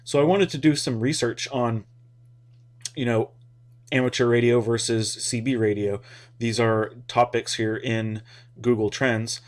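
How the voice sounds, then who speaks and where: A man's voice: mid-range voice, steady tone, a little slow. An American man giving a casual, rambling explainer in an informal, hesitant way, as if thinking aloud. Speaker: hobby radio video host.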